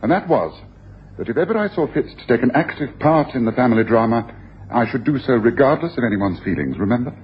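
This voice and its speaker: A man's voice: medium pitch, big pitch swings, a little fast, close to an everyday voice. A man acts a self-assured detective with a theatrical, domineering delivery. His voice swings widely as he makes his points, in a dull, noisy old radio broadcast. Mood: brisk, commanding. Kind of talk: 1980s radio drama acting.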